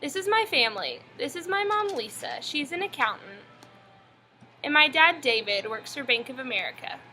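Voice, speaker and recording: A young woman from Texas reading her school family-history introduction in an even, scripted recitation. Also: sits high, normal speed, faint music behind. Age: young adult. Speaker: student presenter.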